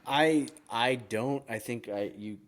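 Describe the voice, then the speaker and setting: A man co-hosting a casual gaming podcast, talking haltingly with many restarts and a playful tone. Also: medium pitch. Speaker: podcast co-host.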